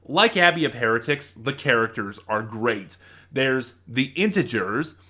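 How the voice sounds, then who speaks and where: Bright-voiced man giving an enthusiastic review. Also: medium pitch, animated. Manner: enthusiastic, halting, emphatic. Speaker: video reviewer. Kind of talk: casual unscripted audio drama review.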